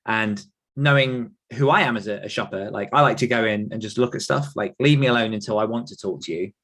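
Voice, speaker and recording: British male podcast guest, lowish voice, conversational storytelling, chatty and self-effacing. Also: brisk, a bit bright. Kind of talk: casual personal anecdote in conversation.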